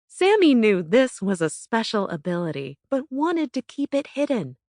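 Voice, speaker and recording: A woman narrating a children's bedtime story from a script in an expressive, careful storytelling manner. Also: fairly high pitch, normal speed, dry recording, studio quality. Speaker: audiobook narrator.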